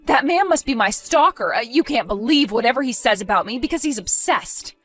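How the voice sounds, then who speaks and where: Audio-story role: desperate, evasive wife played by a woman, very high voice, animated swings, pleading and defensive. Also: fairly quick, soft background music. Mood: flustered, defensive. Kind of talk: acted audio story dialogue.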